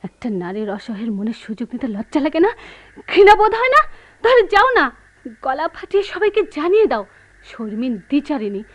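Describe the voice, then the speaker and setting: A woman's voice: high voice, sing-song, fairly quick, no room sound. An actress playing a proud, tormented married woman in a Bengali radio drama, with theatrical, emotionally charged delivery. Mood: furious, accusing.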